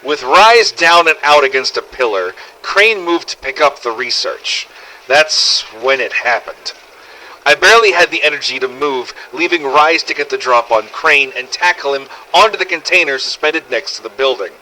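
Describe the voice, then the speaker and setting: A man's voice: medium pitch, lively intonation, normal speed, sharp tone, a little hiss or hum, rough recording. A man narrating a scripted first-person survival story in character, with a dramatic, gritty delivery and some swearing. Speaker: narrator of fan-made game audio logs.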